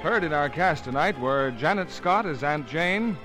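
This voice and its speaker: Animated male old-time radio announcer. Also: fairly high pitch, normal speed, a loud crowd. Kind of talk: scripted 1950s radio advertising and credits. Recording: 1950s radio broadcast, faint music.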